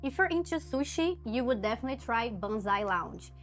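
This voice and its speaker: A friendly woman narrates travel tips in a fairly high voice. Soft music runs quietly behind her. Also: sing-song, fairly quick. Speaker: travel vlog co-host.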